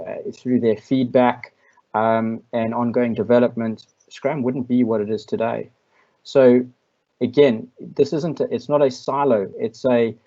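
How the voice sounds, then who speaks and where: South african guy on a video call, pretty monotone, thinks out loud with lots of ums, slightly muffled audio. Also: mid-range voice. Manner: measured, reflective, with frequent ums and restarts. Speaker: invited speaker. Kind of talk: online masterclass Q&A.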